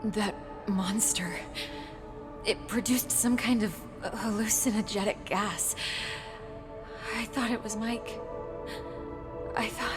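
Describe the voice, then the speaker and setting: A woman's voice: medium pitch, fairly even intonation, slow, pauses often, lightly acted. An actress playing a determined, analytical woman scientist and earnest survivor in a video game cutscene. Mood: weary resolve. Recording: loud music under dialogue.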